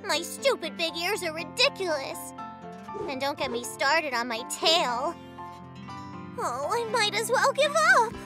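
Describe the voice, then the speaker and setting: A sensitive little fox girl from a children's cartoon. The voice is extremely high and very animated, swinging with dramatic, earnest feeling. Music plays behind it. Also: unhurried, exaggerated voice. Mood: dejected, sulky.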